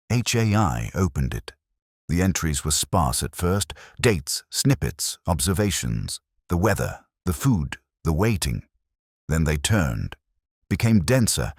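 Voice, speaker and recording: A man plays a world-weary detective in an audio drama, recalling a case in measured, literary prose. His voice is extremely low and husky. He sounds solemn and reflective, with pitch that rises and falls freely. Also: no echo, clean studio sound, heavily acted. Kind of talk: literary first-person scripted narration.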